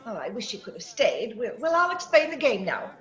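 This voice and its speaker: A woman's voice: on the high side, very animated, brisk, a crowd in the background. A woman playing a gushing, sociable older mother hosting a party, fluttery and effusive, in old-time radio style over an echoey, muffled video call. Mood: fussy, sociable. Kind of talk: old-time radio comedy-drama acting. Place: actor's home, recorded over video call.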